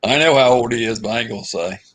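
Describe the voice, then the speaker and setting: A man's voice: mid-range voice, quick pace, a bit bright. A man hosting a live stream panel, talking in an easygoing casual drawl. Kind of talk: casual chat.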